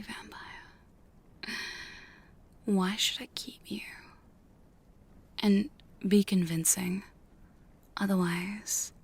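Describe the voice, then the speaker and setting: A woman's voice: medium pitch, exaggerated voice. A woman playing a teasing, domineering female vampire hunter in a mostly whispered, seductive ASMR roleplay. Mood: teasing, demanding. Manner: slow, breathy, seductive, commanding. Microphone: close studio condenser microphone.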